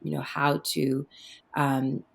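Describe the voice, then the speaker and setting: A woman with a low voice, talking in an interview as a guest. She is middle-aged, born in Afghanistan and raised in Canada, and she speaks reflectively, with frequent "um"s and self-corrections as she works through her thoughts. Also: completely flat delivery, slow, gravelly. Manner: reflective, many fillers and restarts. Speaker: guest, activist and author. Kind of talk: conversational interview.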